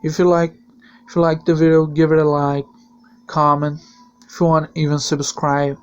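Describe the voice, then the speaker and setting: A man whose first language is Portuguese, hosting a league channel with a voice-over season preview. He delivers a plain, steady recap in non-native English phrasing. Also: on the high side, moderate pace, clear, resonant tone, lo-fi sound.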